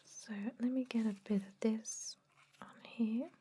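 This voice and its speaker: A woman playing a gentle, chatty vampire dentist in an ASMR roleplay, whispering slowly and breathily to soothe and confide. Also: a little high, fairly even intonation, slight background noise, natural voice. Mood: soothing, clinical. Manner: slow, soothing, hesitant, confiding.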